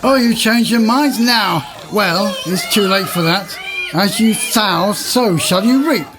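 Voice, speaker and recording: A man's voice: sits high, takes it slowly, noisy background. A man playing a pompous, exasperated old-time manor court judge, declaiming puns in a comic audio drama. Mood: stern, exasperated.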